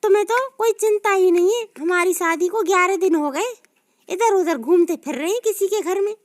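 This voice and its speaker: Woman playing a nagging greedy new bride. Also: very high-pitched. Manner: nagging, dramatic, quick to complain. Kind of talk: comic sketch.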